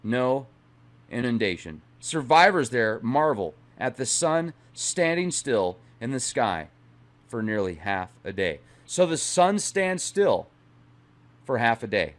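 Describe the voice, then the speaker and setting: A man's voice: mid-range voice, sing-song, takes it slowly. A middle-aged man hosting a channel, speaking in a dramatic, emphatic way as he reads aloud and comments. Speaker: channel host. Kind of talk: dramatic reading aloud with casual asides.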